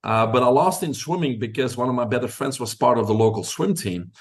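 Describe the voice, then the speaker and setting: A man's voice: mid-range voice, lively intonation, brisk. A middle-aged man from Belgium with a Belgian accent, a podcast guest telling his story in long, confident answers.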